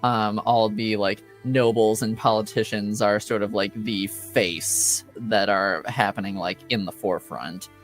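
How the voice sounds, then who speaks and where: A man running a tabletop roleplaying game as game master, explaining rules and lore in a hesitant, halting way. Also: lowish voice, fairly even intonation, low-quality recording. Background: music behind the voice.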